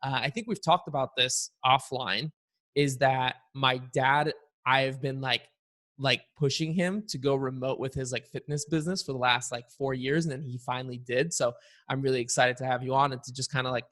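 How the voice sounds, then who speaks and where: A man's voice: mid-range voice, fairly even intonation, moderate pace, fairly bright tone, dry recording. A young man, born in Bulgaria, hosting a podcast interview, upbeat and chatty with lots of "like" and "uh". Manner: upbeat, chatty, many fillers like "uh" and "like". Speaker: podcast host. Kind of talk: casual interview chat with ad read.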